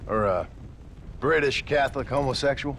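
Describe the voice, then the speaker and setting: An actor playing a rugged cowboy gunslinger with an American accent, deadpan and dryly ironic, in a video game cutscene. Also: male voice, mid-range voice, moderate pace, slight background noise, close to an everyday voice. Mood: mocking politeness. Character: rugged, sardonic cowboy gunslinger, courteous but dry.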